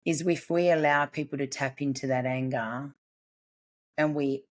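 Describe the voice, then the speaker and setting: A woman's voice: a bass voice, fairly even intonation. A woman, a family constellations practitioner and podcast guest, explaining her ideas earnestly in casual chat. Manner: earnest, explanatory, quick bursts.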